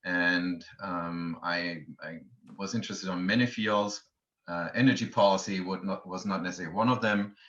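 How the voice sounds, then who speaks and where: Deep-voiced man with a German accent. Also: fairly even intonation, normal speed, fairly bright tone. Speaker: guest, nuclear advocate. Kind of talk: conversational interview answer. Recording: dull remote audio.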